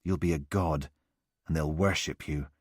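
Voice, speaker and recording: A man voicing a menacing male killer who speaks low and hushed, heard as a distant recording. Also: fairly even intonation, moderate pace, dry recording, studio quality. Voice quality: hushed, creepy.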